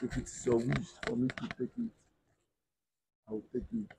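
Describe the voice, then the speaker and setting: An actor playing a blind, resigned husband, speaking quietly and slowly. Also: male voice, mid-range voice, very animated, vocal fry, husky, dry recording, heavily acted. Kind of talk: dramatic skit acting.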